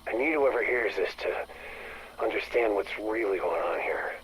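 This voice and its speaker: An actor playing a distressed maintenance director confessing into a game audio log, halting, stammering and bitter. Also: male voice, fairly low pitch, expressive, a bit bright. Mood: distressed, haunted. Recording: faintly noisy recorded audio log.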